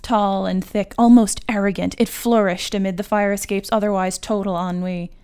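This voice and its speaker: Clear, smooth woman reading a story. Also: medium pitch, level tone, moderate pace, darkish tone. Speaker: guest writer reading her own fiction. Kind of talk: literary short story reading.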